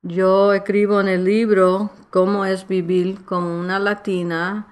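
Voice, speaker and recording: Slow, halting Nuyorican woman. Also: mid-range voice, flat delivery. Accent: New York Puerto Rican. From New York, United States. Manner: halting Spanish, searching for words, slipping into English. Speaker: author being interviewed. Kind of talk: reflective personal interview in casual Spanglish.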